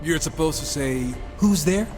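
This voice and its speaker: A man's voice: fairly high pitch, lively intonation, measured pace, faint music behind. An actor playing a sarcastic, irreverent horseman warrior in a video game, with a flippant, casual, sardonic delivery. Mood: teasing. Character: wisecracking, sarcastic, irreverent gunslinging horseman brother. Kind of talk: video game cutscene acting.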